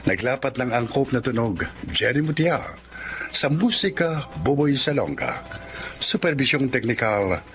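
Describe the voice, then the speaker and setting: A man's voice: mid-range voice, a bit bright. A man with a Filipino accent, announcing and narrating a radio drama from a script. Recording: studio voice over music bed.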